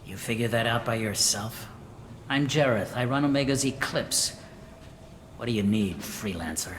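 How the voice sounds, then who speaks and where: A man's voice: mid-range voice, moderate pace, fairly bright tone, close to an everyday voice. Cold, sardonic mercenary boss, man acting over noise. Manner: clipped, dismissive, sardonic. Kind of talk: video game voice acting.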